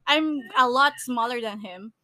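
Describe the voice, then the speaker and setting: A woman's voice: a little high, unhurried, bright, forceful tone, no room sound. A woman from the Philippines with a Filipino accent, co-hosting a podcast in casual chat, laughing often and restarting her sentences. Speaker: podcast co-host.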